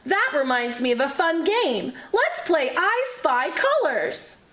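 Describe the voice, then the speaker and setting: Storybook boy leaf, friendly and upbeat, lively pitch; woman reader puts him on. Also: slow pace, roomy sound, heavily acted. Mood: playful. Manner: upbeat, game-loving.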